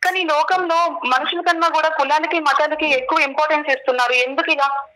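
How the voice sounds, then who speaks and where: A woman's voice: high-pitched, steady tone, brisk, pushed and bright, rough recording. A woman, earnestly explaining a personal problem and questioning as a caller. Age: 20s.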